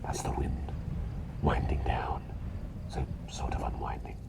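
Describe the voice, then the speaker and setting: A man voicing a kindly, scatterbrained bird doctor in an ASMR roleplay, rambling soothingly between soft talk and breathy whispers. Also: a bass voice, little pitch movement, measured pace, exaggerated voice. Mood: sleepy, soothing. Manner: rambling, soothing, whimsical, often dropping to a whisper. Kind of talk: ASMR roleplay, personal attention.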